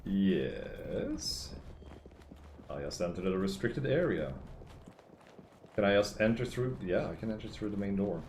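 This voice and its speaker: A man streaming a video game, talking casually and excitably, with a gravelly, hoarse voice from shouting. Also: lowish voice, a little slow, long pauses. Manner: chatty, excitable, reading lore aloud. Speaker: gaming streamer. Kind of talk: casual gameplay commentary. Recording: echoey room with faint noise.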